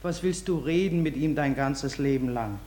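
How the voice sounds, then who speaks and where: A woman's voice: extremely low pitch, normal speed, mellow. An actress playing a stern, mocking older mother giving warnings in a 1950s German radio drama, heard in an echoey hall.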